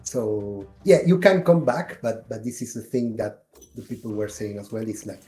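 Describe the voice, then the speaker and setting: A man's voice: fairly low pitch, warm tone, soft background music. A man from Argentina with an Argentine Spanish accent, a game developer explaining his game in casual chat with frequent restarts. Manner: halting, frequent restarts and laughs while explaining. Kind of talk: casual video call interview.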